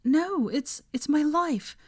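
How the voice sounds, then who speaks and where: A woman's voice: high voice, takes it slowly, a little mellow, voice pushed up. A woman voicing an overworked, exhausted young woman who is stubborn, fretful and insistent. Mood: fretful, panicked.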